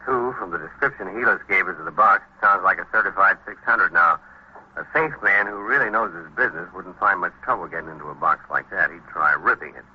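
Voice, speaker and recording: A man's voice: sits low, fairly quick, slightly rough. An actor playing a respectful, plainspoken American policeman in a vintage radio crime drama, speaking in a deferential, matter-of-fact way. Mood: matter-of-fact, reasoning. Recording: dull, lo-fi vintage radio recording.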